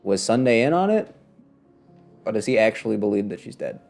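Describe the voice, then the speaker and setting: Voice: mid-range voice, very animated, a little fast, creaky, darkish tone, soft background music. A streamer giving casual, slangy commentary over a video game in a sarcastic, questioning manner. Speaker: live streamer.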